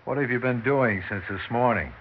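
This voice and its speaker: A man's voice: medium pitch, normal speed, a little hiss or hum, natural voice. An actor with an American accent playing a blunt, incredulous male theatre producer in a 1960s radio drama. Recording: muffled 1960s radio recording.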